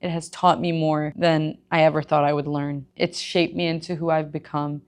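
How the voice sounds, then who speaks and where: A young woman from Egypt tells her own story in a candid, chatty way. Her voice sits low. She drops emphatic little asides as she goes. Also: flat delivery, normal speed. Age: young adult. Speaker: gym owner and personal trainer. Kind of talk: personal storytelling vlog.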